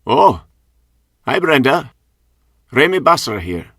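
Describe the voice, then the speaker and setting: A harried businessman keeps things brisk and friendly on a call. A man reads him in a dry, plain audiobook voice. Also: medium pitch, sing-song, a bit bright, dry recording, heavily acted. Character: harried male businessman on the phone.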